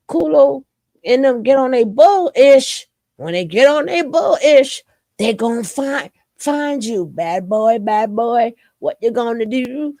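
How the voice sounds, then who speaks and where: A woman's voice: sits high, creaky, darkish tone, no room sound, clean studio sound. A woman giving casual reaction commentary, stuffy from a cold, mocking and teasing in slangy talk. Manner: mocking, laughing, slangy, sing-song teasing.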